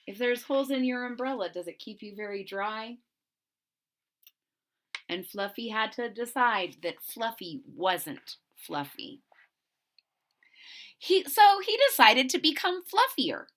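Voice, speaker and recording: A woman hosts a children's read-aloud in a high, very lively voice. She takes her time, leaning hard on key words with drawn-out emphasis. Between passages she adds chatty asides for her young audience. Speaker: storybook read-aloud host.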